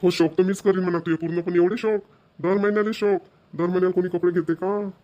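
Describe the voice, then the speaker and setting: A man's voice: sits high, fairly even intonation, quick pace, dark tone, natural voice. A man playing an exasperated, thrifty young husband in a cartoon, complaining and scolding with a Vidarbha Marathi accent. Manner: nagging, complaining. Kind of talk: amateur cartoon voice acting.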